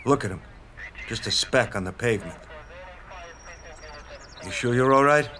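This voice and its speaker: An actor playing a gruff, world-weary male policeman in an audio drama, blunt and offhand. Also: medium pitch, measured pace, bright, forceful tone, slight background noise. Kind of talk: modern audio drama acting.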